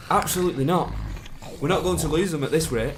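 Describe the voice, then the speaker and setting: A woman playing a brisk, loyal adventuring companion with an urgent manner in a radio drama. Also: sits low, slight background noise, lightly acted.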